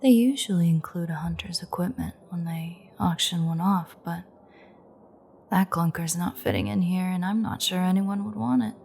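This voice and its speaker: A woman playing a menacing, teasing vampire in an intimate audio drama monologue, her breathy voice often dropping to a near-whisper as she taunts. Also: on the low side, darkish tone, slight background noise. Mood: sardonic, fond. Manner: slow, taunting, drawling. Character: menacing, teasing female vampire gloating over captive hunter.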